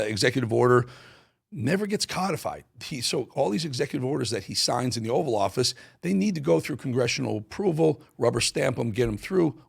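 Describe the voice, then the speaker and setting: Animated, gravelly American man hosting political talk. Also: medium pitch. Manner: emphatic, repeats phrases for punch. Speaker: talk show host. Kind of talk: opinionated political commentary on viewer voicemails.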